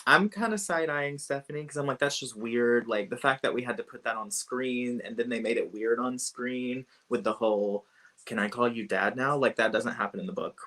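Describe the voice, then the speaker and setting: A woman's voice: very deep voice, moderate pace, fairly bright tone. Frank, opinionated woman co-host. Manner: opinionated, frank, laughing asides. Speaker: podcast co-host. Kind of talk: casual book discussion.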